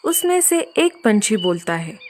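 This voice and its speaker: A woman's voice: medium pitch, big pitch swings, a little slow, a little hiss or hum. A woman narrating a scripted story for children.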